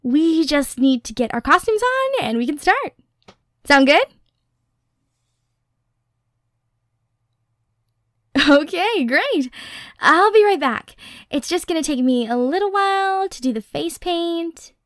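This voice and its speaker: A woman playing a dorky, affectionate young girlfriend in an intimate ASMR roleplay, expressive and teasing, close on the mic. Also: extremely high pitch, measured pace, dry recording, exaggerated voice. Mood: cheerful. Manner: playful, expressive, teasing, nerdy rambling. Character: playful, dorky, Halloween-obsessed young girlfriend, excitable and affectionate. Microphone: close, dry studio microphone.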